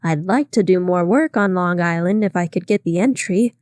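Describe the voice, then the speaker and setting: A woman's voice: on the low side, moderate pace, darkish tone, no room sound, studio quality, natural voice. A woman doing an eager, self-promoting artist man. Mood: earnest. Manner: earnest, eager.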